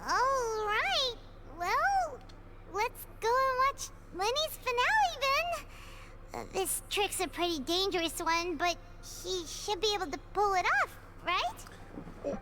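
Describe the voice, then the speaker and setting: A woman voicing a small, earnest, curious fairy girl companion in a video game, with a squeaky childlike voice. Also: lively intonation, slow pace, full character voice. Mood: anxious.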